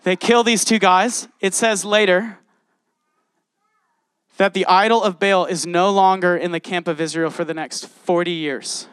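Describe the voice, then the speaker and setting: A man with a high voice preaches a sermon in a church. He reads scripture aloud and turns to the congregation with passion. The sound is close and dry, with no room echo. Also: steady tone, measured pace, a bit bright, smooth. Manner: passionate, addresses congregation directly. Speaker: pastor. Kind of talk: sermon with Bible reading.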